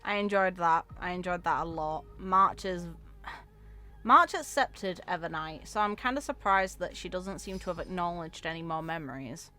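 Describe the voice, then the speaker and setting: A woman's voice: fairly low pitch, lively intonation, normal speed, bright, forceful tone. A woman giving casual gaming reaction commentary in short, wry, informal asides. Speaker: gamer reacting to character voicelines.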